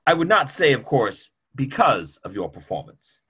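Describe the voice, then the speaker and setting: Man playing a careworn doctor with husky, swooping speech. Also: mid-range voice, sing-song, sharp tone, full character voice. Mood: grave, careful. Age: middle-aged. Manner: measured, formal, hesitant phrasing. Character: careworn, formal, evasive male family doctor. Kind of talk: online play reading. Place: small room at home, video call.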